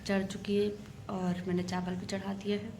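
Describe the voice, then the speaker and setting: A woman with a sore, hoarse throat talking to her phone camera in a home kitchen, chatty and hurried as she cooks. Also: mid-range voice, flat delivery, dark tone. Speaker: homemaker vlogger. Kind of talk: casual talk to camera while cooking. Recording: home phone video with clear background noise.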